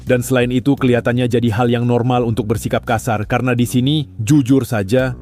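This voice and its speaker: A man's voice: mid-range voice, flat delivery, a little fast, darkish tone, faint music behind. A man giving comic, sarcastic scripted narration with an exaggerated ranting delivery.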